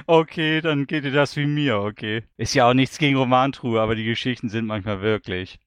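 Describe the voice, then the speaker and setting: A man's voice: medium pitch, moderate pace, fairly bright tone, smooth, no room sound. A man hosting a casual audio interview and putting questions to a guest. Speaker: host.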